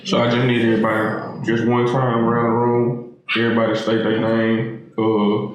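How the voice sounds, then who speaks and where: A man's voice: mid-range voice, completely flat delivery, moderate pace, muffled recording. A man hosting and moderating a casual group discussion in slangy, teasing language. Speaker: panel host and moderator. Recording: very echoey room, clear noise.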